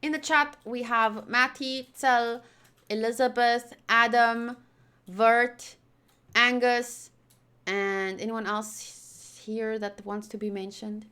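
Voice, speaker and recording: A woman's voice: a little high, steady tone, slow, bright, forceful tone, clear, resonant tone. A woman chatting casually on a livestream as she plays and reads along with a game, in a rambling, playful way. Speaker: streamer.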